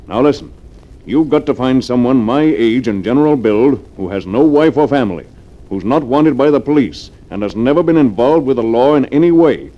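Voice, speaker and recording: An actor playing a domineering, scheming wealthy fugitive in middle age, brusque and commanding, in 1940s radio drama style. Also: male voice, mid-range voice, close to an everyday voice. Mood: forceful, impatient. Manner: brusque, commanding, self-assured. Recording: dull, lo-fi 1940s radio recording.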